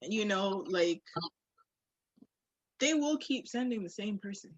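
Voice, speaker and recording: A woman's voice: mid-range voice, expressive, takes it slowly, no echo, lo-fi sound. A woman chatting candidly and casually on a podcast, emphatic and slangy with punchy phrasing.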